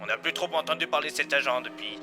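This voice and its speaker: An actor playing a nervous, secretive informant from a criminal organisation, confiding in an audio drama. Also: male voice, on the high side, fast talker, music in the background, lightly acted.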